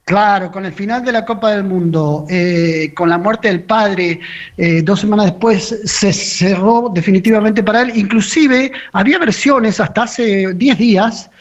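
A man's voice: sits high, measured pace. A man with an Argentine accent giving an unscripted radio report as a correspondent, hesitating and repeating phrases as he searches for words. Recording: dull, band-limited remote radio link.

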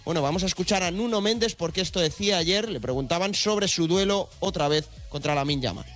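A man's voice: sits high, fairly quick, a bit bright, soft background music. A man with an accent from Spain giving a live, unscripted sports report on the radio, often repeating words as he searches for them.

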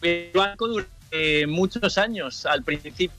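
A man from Madrid speaks as a phone guest on a radio show, his voice high for a man and a little hesitant. Faint music plays behind. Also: unhurried, bright, forceful tone. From Madrid, Spain. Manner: hesitant, with fillers. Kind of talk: phone interview.

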